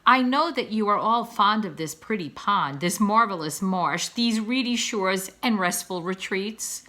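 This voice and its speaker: A proud father swan from a children's storybook, acted out by a woman, speaking in grand, florid declamation. The voice is bright and forceful, with plenty of rise and fall, as if every sentence were a lecture to his young. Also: medium pitch, expressive, unhurried. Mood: grandly nostalgic. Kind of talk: children's storybook read-aloud.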